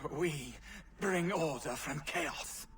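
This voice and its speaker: A haughty, menacing villain from a video game. His voice sits high and he declaims slowly and scornfully. Faint noise sits behind him. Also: fairly bright tone.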